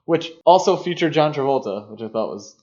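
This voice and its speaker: A man's voice: fairly high pitch, expressive, brisk, mellow. A man co-hosting a podcast, discussing film casually in an opinionated, conversational way. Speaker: podcast co-host. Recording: dull, muffled lo-fi podcast audio.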